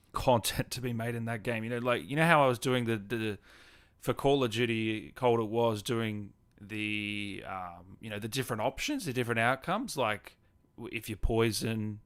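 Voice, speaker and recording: A man's voice: mid-range voice, a bit bright, clean tone, no room sound. A man hosting a live gaming stream, chatting casually and answering viewers in an informal, matey way. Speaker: live stream host and gaming content creator. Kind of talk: casual chat, reading and answering viewer comments.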